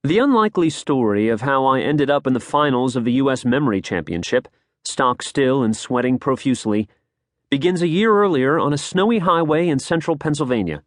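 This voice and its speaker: Lively American male audiobook narrator. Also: mid-range voice, a little fast, no echo, clean studio sound. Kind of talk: scripted nonfiction audiobook narration. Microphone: close studio microphone.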